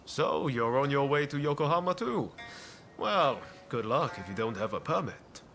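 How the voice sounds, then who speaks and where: A breezy, animated roguish ronin. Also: male voice, medium pitch, normal speed, faint music behind. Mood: breezy, confident. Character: jaunty, roguish ronin man with big ambitions. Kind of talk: video game cutscene acting.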